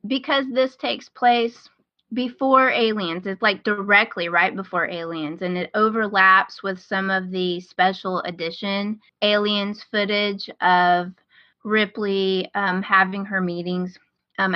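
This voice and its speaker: A woman's voice: fairly low pitch, dry recording, muffled recording. A woman hosting a podcast, talking casually in a chatty, enthusiastic way about things she recommends. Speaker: podcast host.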